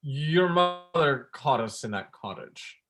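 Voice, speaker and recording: A man's voice: on the high side, lively intonation, slow pace, a bit bright, soft background music. A man playing a flippant, self-centred academic in a staged play reading, glib and dismissive. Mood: glib, curt. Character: Bernard, flippant, self-centred male academic making his escape.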